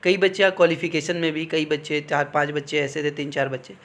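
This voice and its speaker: Higher-pitched man explaining informally. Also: flat delivery, brisk, fairly bright tone. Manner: instructive, repeatedly checking in with listeners. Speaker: exam coaching teacher advising candidates. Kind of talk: informal explanatory talk on exam cut-offs.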